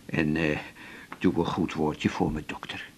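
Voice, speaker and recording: An actor from the Netherlands playing a chatty, eager, smitten older patient in a Dutch radio drama, with a husky voice. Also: male voice, rumbling low, level tone, a little slow, slight background noise. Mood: eager, coaxing. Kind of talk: naturalistic radio drama dialogue.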